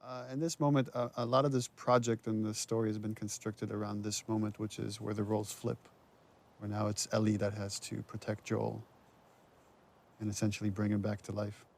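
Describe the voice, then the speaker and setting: A man's voice: lowish voice, flat delivery, fairly quick, gravelly. A man giving casual, conversational director's commentary. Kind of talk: casual film commentary chat.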